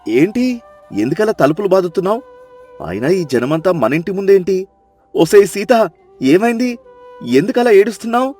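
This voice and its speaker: A woman's voice: medium pitch, lively intonation, moderate pace, long pauses, mellow, voice pushed down, lightly acted. A woman voicing a short-tempered village husband who scolds his wife in an exasperated way, with faint music behind. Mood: puzzled.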